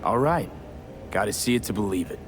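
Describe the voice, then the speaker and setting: An actor playing a pragmatic, streetwise mercenary in a video game, with a terse, casual way of talking. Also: male voice, lowish voice, animated, a little fast, background noise, close to an everyday voice. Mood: skeptical. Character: pragmatic, streetwise male mercenary, seasoned fighter.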